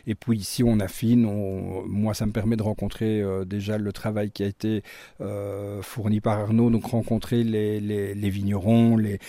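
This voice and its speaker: A man's voice: on the low side, level tone, moderate pace, warm tone, dry recording. A middle-aged man with a Belgian French accent, speaking casually as a chef being interviewed. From Brussels, Belgium.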